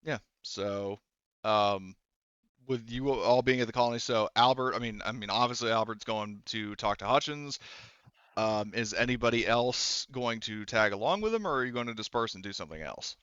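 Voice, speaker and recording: A man narrating a tabletop game as game master in a casual, halting, sometimes profane way. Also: on the low side, expressive, a bit bright, clean tone, dry recording, muffled recording.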